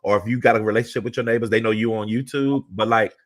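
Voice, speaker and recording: A man with a deep voice hosting a casual chat, talking in a laid-back, rambling way. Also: steady tone, quick pace. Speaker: host.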